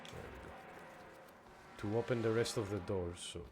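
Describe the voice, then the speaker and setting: A man's voice: on the low side, steady tone, a little slow, noisy background. A young man from Greece streaming a horror game, chatting casually with viewers and reacting as he plays. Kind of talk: casual gameplay commentary.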